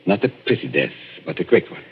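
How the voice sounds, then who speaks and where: Analytical magician-detective, formal, commanding, husky, 1940s radio drama, muffled vintage recording. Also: male voice, low voice, big pitch swings, quick pace, heavily acted. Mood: grim, assured. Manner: formal, precise, authoritative. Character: commanding, coolly analytical gentleman magician turned sleuth. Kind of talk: 1940s radio mystery acting.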